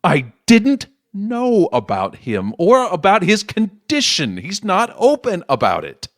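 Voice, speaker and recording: A man's voice: sits high, wildly swooping pitch, takes it slowly, dry recording, clean studio sound, heavily acted. An actor playing a middle-aged politician father in an audio play, persuasive and defensive, talking down to his grown daughter. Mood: exasperated. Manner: condescending, self-justifying.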